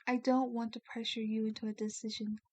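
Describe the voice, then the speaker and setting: A woman playing a kindly, doting vampire lady in an ASMR roleplay, speaking gently with a genteel, coaxing manner. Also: fairly high pitch, level tone, a little fast, dry recording, lightly acted. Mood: reassuring, coaxing. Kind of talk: ASMR roleplay, soft scripted acting.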